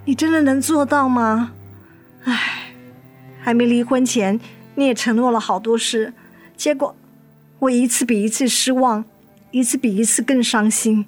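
A woman's voice: a little high, steady tone, a little slow, long pauses, faint music behind. A woman playing an anguished, devoted mother of a sick child in a radio drama, emotional and plaintive. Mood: hurt. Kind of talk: Mandarin radio drama acting.

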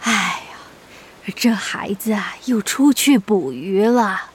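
A woman voicing a gentle, frail old grandmother with an aged, breathy voice in a children's audio drama. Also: mid-range voice, lively intonation, takes it slowly. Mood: worried. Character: gentle, frail old granny, worried and fond.

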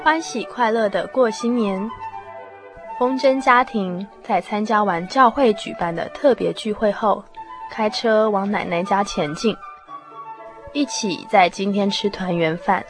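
A woman's voice: medium pitch, unhurried. A woman reading scripted story narration for a radio drama. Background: clear flute music.

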